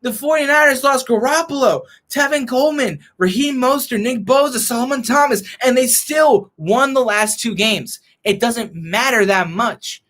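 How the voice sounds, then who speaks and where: A young man ranting as a frustrated sports fan vlogger, hoarse and losing his voice, reading and answering chat. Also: very high voice, normal speed, hardly any pauses, fairly bright tone. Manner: frustrated, heated rant.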